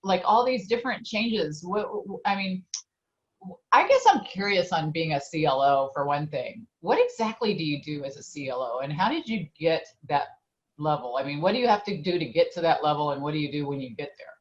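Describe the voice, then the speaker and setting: A woman's voice: low-pitched, animated, brisk, muffled recording. A woman hosting an interview, chatty and casual, talking with many fillers. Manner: chatty, with many fillers like "you know" and "I mean". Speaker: interview host.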